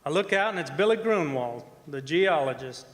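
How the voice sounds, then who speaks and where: A man's voice: fairly high pitch, expressive, normal speed, a bit bright. Man acting a folksy oilman in an echoing hall. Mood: folksy storytelling. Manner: colloquial, folksy. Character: folksy old-time oil rig roughneck, easygoing storyteller. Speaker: actor and narrator in a radio play. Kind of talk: stage radio drama acting. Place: performing arts center stage. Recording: reverberant hall, music behind.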